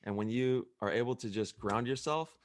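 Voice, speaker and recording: A man's voice: mid-range voice, normal speed, slight background noise. A man hosting a podcast interview, asking earnest, leading questions in a casual tone. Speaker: podcast host.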